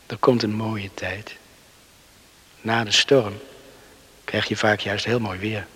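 An actor playing an exhausted young man just rescued and waking up, murmuring dreamily to himself in a hushed, half-whispered radio drama monologue. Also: fairly low pitch, husky, heavily acted. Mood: relieved, content. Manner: slow, dreamy, musing to himself.

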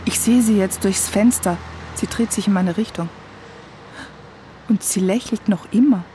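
A woman's voice: mid-range voice, unhurried, warm tone, a little hiss or hum. A woman reading literary first-person narration for a radio feature, recorded as a close voice-over. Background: music.

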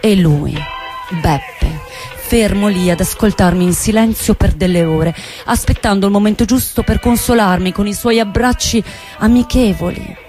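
A woman's voice: mid-range voice, normal speed, a little mellow, faint music behind, close to an everyday voice. An actress playing a wry woman who recounts her lovesick teenage years in a dramatic, sardonic theatre monologue. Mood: nostalgic storytelling. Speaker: stage actress.

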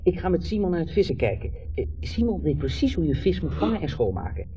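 An actor playing a placating, wry husband in a 1970s radio drama, soothing, teasing and at times hesitant. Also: male voice, fairly high pitch, sing-song, fast, mellow, faint music behind. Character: placating, wry husband, timid younger brother. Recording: dull, distant old radio recording.

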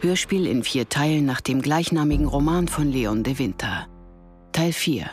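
A man's voice: on the high side, lively intonation, normal speed. A man announcing titles, dates and places for a radio play in scripted style. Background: music.

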